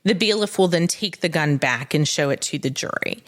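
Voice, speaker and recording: A woman's voice: low-pitched, moderate pace. A woman co-hosting a podcast as the legal expert, explaining things in casual chat and acting out courtroom dialogue as she goes.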